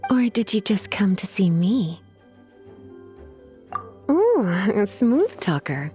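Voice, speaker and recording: Flirty witch librarian, video game, unhurried sighing drawl, very expressive pitch, teasing. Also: soft background music.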